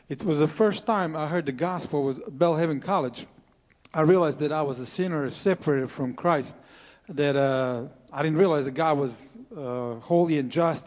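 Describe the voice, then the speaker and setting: A man's voice: fairly high pitch, long reverb tail. A man in his forties from Croatia, speaking English with a Croatian accent, earnestly sharing his testimony to a church congregation with many hesitant fillers. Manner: earnest, plain-spoken with frequent uh fillers. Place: church sanctuary.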